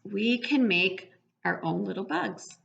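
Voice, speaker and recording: A woman's voice: mid-range voice, slow pace, vocal fry, a bit bright. An older woman, explaining a children's craft step by step in a plain way, like a preschool educator. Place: living room at home, indoors. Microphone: webcam at about arm's length. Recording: dull webcam sound.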